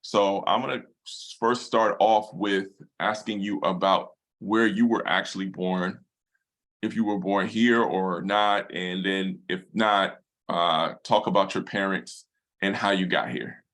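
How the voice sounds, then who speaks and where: Low-voiced man asking halting questions. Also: steady tone, a bit bright. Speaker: interviewer. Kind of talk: oral history interview.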